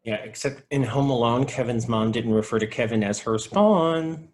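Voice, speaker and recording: An actor playing an earnest, geeky video store clerk, speaking conversationally in a comedy table read. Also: male voice, mid-range voice, moderate pace, a little hiss or hum, natural voice.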